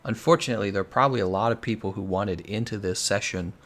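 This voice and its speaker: A man's voice: fairly low pitch, fast talker, a bit bright, a little hiss or hum. A young man with an American accent, chatting casually on a game stream, answering viewers and laughing often. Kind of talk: casual live-stream commentary.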